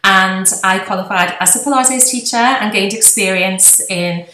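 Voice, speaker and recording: A woman's voice: medium pitch, normal speed, bright, forceful tone, echoey room, rough recording. A woman in her forties with a Liverpool accent, chatting as a guest on a podcast interview and laughing often. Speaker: guest, physiotherapist.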